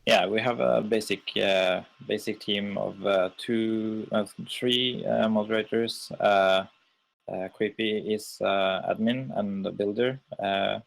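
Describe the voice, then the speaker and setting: A man whose first language is Norwegian, co-owner of a game server, talking haltingly on a casual live stream with lots of fillers. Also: on the low side, steady tone, rough recording. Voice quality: gravelly.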